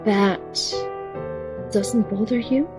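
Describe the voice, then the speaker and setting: A woman plays a resolute young noblewoman who fights for her companions in an audio drama. Her mid-pitched voice is firm, guarded and serious, over faint music. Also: very slow. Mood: solemn.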